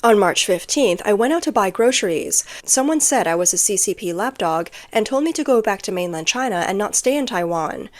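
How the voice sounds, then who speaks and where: Woman doing news voiceover, medium pitch, reading off a script. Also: expressive, fairly quick, hardly any pauses. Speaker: news narrator. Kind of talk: scripted news narration with read-out translated quotes.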